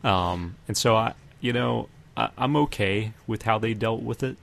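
A man hosting a podcast, casually discussing a TV show in halting, thought-out phrases. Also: on the low side, creaky, a bit bright. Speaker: podcast host. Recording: noticeable background noise.

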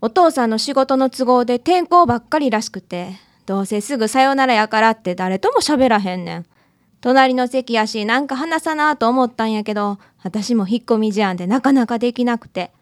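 A woman's voice: a little high, a little fast, no echo, studio quality, lightly acted. A woman with a Kansai accent playing a friendly, outspoken local woman in a radio drama. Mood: nostalgic. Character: friendly, outspoken local woman, also as her schoolgirl self. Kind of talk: scripted radio drama acting.

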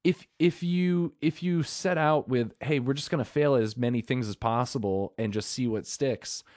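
Man podcast host, rambling, eager, dry close sound, mid pitch. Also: normal speed, darkish tone, dry recording. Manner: rambling, self-correcting, enthusiastic. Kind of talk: casual solo podcast talk. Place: alone in a room.

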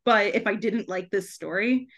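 A woman's voice: mid-range voice, normal speed, a bit bright. Giggly woman chatting casually. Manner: giggly, laughs often while talking. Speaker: guest author and TV trailer writer. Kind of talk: casual podcast interview.

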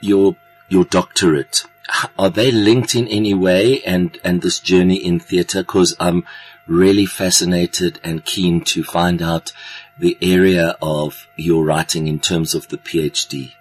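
A man hosting an interview conversationally, effusively affirming his guest and phrasing his thoughts haltingly. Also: sits low, fairly even intonation, faint music behind, poor audio. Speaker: interview host.

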